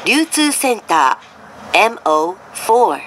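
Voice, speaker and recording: A woman reading a scripted train announcement in formal, deliberate, clearly separated phrases over a monorail car's speakers. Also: mid-range voice, sing-song, fairly bright tone. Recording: train cabin noise behind announcement.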